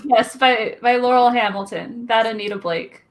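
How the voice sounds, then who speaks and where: A woman's voice: a little high, normal speed. A woman chatting casually as a guest in a live book group, matter-of-fact and a little self-deprecating. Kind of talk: casual group chat about books.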